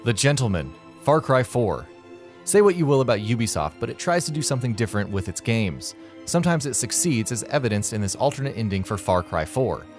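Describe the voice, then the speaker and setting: A man's voice: mid-range voice, big pitch swings, brisk, darkish tone, soft background music. A man reading scripted narration for a video game list.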